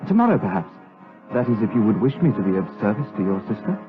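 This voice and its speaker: Gallant young nobleman played by a man, courtly and eager, brisk delivery, muffled period drama. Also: fairly low pitch, very animated. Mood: courteous, eager. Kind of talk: gothic audio drama acting. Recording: muffled radio-like sound with music behind.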